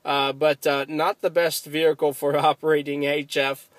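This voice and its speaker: A man's voice: a little high, flat delivery, moderate pace, no room sound. An American man in a casual, chatty ham radio conversation, speaking with enthusiasm from inside a car. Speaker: amateur radio operator.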